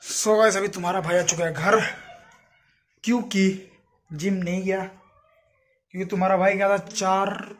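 A man's voice: sits high, steady tone, unhurried, pauses often. A young adult man chatting casually and informally to his viewers, as a vlogger talking into a phone. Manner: informal, addresses viewers as friends. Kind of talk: casual vlog chat to camera. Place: in and around a home, indoors and outdoors. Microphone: phone at arm's length. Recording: noisy handheld phone recording.

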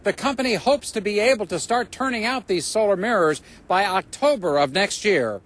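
A middle-aged man reporting live as a TV news reporter, in a measured newscaster delivery. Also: sits high, expressive.

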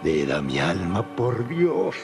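Adoring, anguished Argentine lover, long reverb. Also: male voice, deep voice, huge pitch swings, takes it slowly, vocal fry, full character voice. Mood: anguished tenderness. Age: middle-aged. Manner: impassioned, melodramatic delivery. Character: anguished, adoring male lover, tender and feverish. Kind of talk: classic radio soap opera acting. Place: TV studio radio-play stage. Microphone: large vintage studio microphone. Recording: reverberant studio sound over music.

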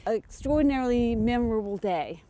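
A woman's voice: a little high, animated, fairly quick, dark tone, a little hiss or hum. A middle-aged woman with a Boston accent, a lighthouse keeper speaking in a heartfelt, plain-spoken way in a reflective documentary interview.

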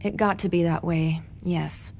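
Low-voiced, composed female agent. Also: fairly even intonation, measured pace, vocal fry, darkish tone. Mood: measured testimony. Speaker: video game actress. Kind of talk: scripted game cutscene acting. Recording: game audio with background noise.

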